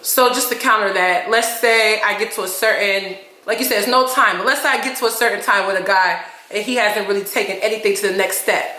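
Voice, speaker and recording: A woman's voice: mid-range voice, fairly quick, few pauses, pushed and bright, roomy sound, low-quality recording. A woman of twenty-one from Philadelphia who is under the weather, hosting and moderating a panel chat in a casual, upbeat way. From Philadelphia, USA. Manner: upbeat, chatty, asks questions directly. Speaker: host and moderator.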